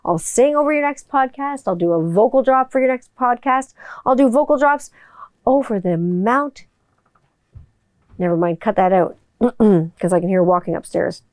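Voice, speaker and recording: Casting note: woman voiceover artist in a loose, rambling home monologue; smooth clear voice, dry close sound. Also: fairly high pitch, lively intonation, normal speed, a little mellow, no room sound. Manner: chatty, rambling, swears casually. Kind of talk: casual monologue to viewers. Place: home room with people upstairs.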